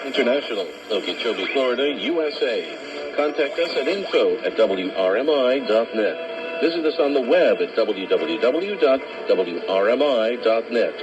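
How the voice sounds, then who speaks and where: A man's voice: mid-range voice, animated, moderate pace. A man reading a scripted radio station identification. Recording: muffled shortwave radio with music.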